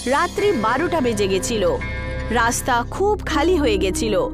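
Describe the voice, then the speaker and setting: High, animated woman narrating a horror story. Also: normal speed, music in the background.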